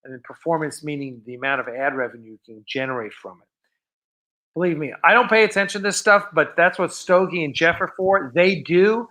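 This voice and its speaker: A man's voice: a little high, brisk, pushed and bright. A man hosting a live stream, chatting casually and off script in a rambling, enthusiastic way.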